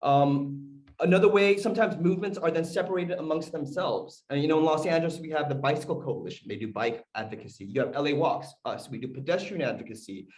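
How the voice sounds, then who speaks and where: A man's voice: a little high, quick pace, few pauses, darkish tone, rough recording. A man whose first language is Korean, a community organizer giving a talk to an audience on a video call, speaking conversationally, asking questions, with frequent fillers. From United States.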